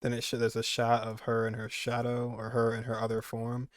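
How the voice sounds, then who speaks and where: A man's voice: medium pitch, monotone, a little fast, no room sound. A young man giving casual, rambling reaction commentary as a gaming streamer, repeating phrases for emphasis.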